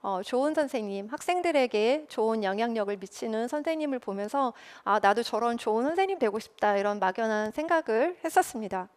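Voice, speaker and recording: A woman's voice: on the high side, expressive, fairly quick, hardly any pauses, clean tone. A woman in her forties telling her own career story in a lecture, candid and self-deprecating, with many fillers. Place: lecture recording.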